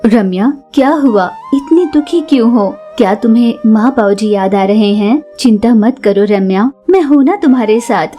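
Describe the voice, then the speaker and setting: A woman's voice: a little high, animated, moderate pace, hardly any pauses, warm tone, faint music behind, voice pushed down, natural voice. A woman voicing a kind, selfless village wife and mother with an earnest manner in a cartoon story. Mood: concerned, comforting.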